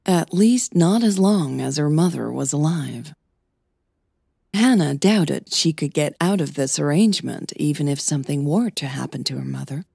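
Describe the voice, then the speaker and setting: A woman's voice: low-pitched, animated, moderate pace, vocal fry, mellow, slightly rough, no echo, studio quality. A woman reading scripted fiction as the narrator of an audio drama podcast.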